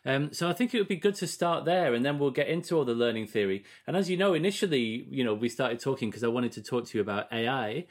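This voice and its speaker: A man's voice: medium pitch, fast, few pauses. A man hosting a conversational interview, putting his questions in a tentative, meandering way. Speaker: podcast host, interviewer.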